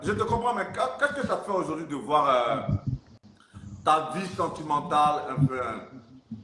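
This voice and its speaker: A man's voice: on the high side, lively intonation, moderate pace. Probing male host in an echoey room. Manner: probing, interjecting questions. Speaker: interviewer, live stream host. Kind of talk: live stream interview. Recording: echoey room with loud noise.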